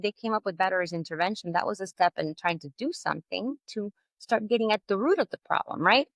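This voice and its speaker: A woman from Puerto Rico, a guest attorney, talks in a lowish voice. She explains her points with energy and colorful images. The recording is dry and close. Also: dry recording. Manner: animated, explains with vivid metaphors. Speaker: guest, family law attorney. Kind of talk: conversational interview.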